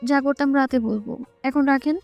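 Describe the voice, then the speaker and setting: A woman puts on a young romance heroine, sweet and earnest. The voice is high and dry, with a plaintive edge. Music runs faintly behind it. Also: moderate pace, vocal fry, darkish tone, dry recording, dull audio. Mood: pleading, tender.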